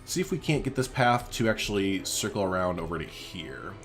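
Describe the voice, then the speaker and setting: A man's voice: deep voice, big pitch swings, a little fast, music in the background. A man giving casual, chatty gameplay commentary as a let's play host, thinking aloud informally as he goes.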